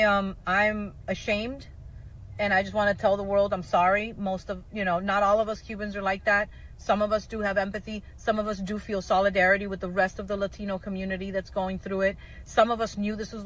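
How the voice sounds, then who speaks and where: A woman's voice: medium pitch, little pitch movement, fairly quick, a bit bright, rough recording. A woman from South Florida, speaking candidly to viewers in a blunt, emphatic, sweary monologue. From South Florida, USA. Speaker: social media video maker. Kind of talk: candid personal video monologue.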